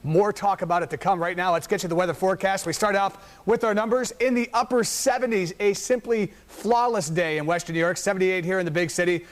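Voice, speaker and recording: A man's voice: high voice, steady tone, fairly quick, few pauses. A man giving a TV weather forecast in an upbeat, chatty, enthusiastic manner from a news studio. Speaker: chief meteorologist. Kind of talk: TV weather forecast, conversational broadcast.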